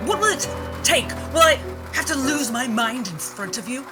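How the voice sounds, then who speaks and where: A woman's voice: high-pitched, animated, moderate pace. A woman voicing a blunt older woman who is fed up with not being heard. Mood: furious. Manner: exasperated, swearing. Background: music.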